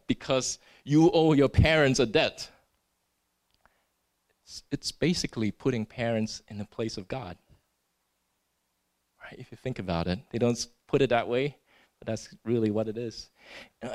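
A man from Singapore preaching a sermon in an earnest way. He often tags his points with "right?" and restarts his words. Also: mid-range voice.

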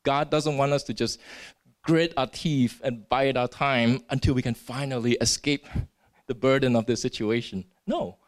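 Male preacher from Singapore, mid-pitched, earnest, checks in with "right?" and stumbles over restarts. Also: fairly even intonation, moderate pace. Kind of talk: sermon.

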